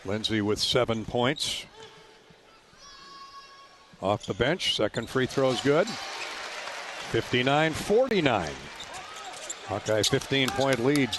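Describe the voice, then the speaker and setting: Man calling basketball over loud arena noise. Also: mid-range voice. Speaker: radio play-by-play announcer. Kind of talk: live basketball play-by-play commentary. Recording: loud arena noise behind courtside radio call. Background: ball bounces and gym ambience.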